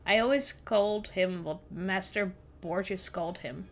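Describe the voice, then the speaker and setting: A woman's voice: mid-range voice, measured pace, soft background music. A woman whose first language is Dutch, hosting a podcast and reading a horror story aloud, then chatting and giggling about it. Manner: giggly and chatty in conversation, with frequent laughs. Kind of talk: reading a creepy story aloud, with casual chat between passages.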